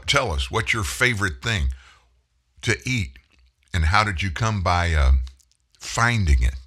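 A man's voice: sits low, a bit bright, breathy edge. A middle-aged man from Houston hosting a radio talk show from a studio, chatting in a folksy storytelling way. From Houston, Texas. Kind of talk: casual monologue. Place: broadcast studio. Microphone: studio microphone.

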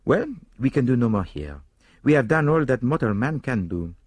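An excitable detective. His voice leaps well above the reader's own and swoops theatrically. He is self-assured and fussy, full of formal, exclamatory turns in audiobook style. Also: mid-range voice, moderate pace, husky, dry recording, voice pushed up. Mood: resigned, wry.

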